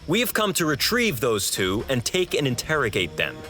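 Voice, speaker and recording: An actor playing a stern, haughty imperial cavalry commander in a fantasy audio drama, pompous and formal. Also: male voice, mid-range voice, wildly swooping pitch, normal speed, sharp tone. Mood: official. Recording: music behind the voice.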